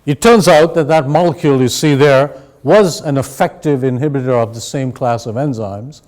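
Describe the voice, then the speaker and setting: A man raised in Sri Lanka giving a measured, explanatory university lecture to an audience. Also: medium pitch, darkish tone. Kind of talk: academic lecture.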